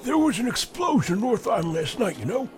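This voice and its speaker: A man's voice: high voice, big pitch swings, brisk, soft background music. An actor playing a chatty, folksy older man, a wry and self-mocking regular at the movie theater. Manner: folksy, rambling, chuckling.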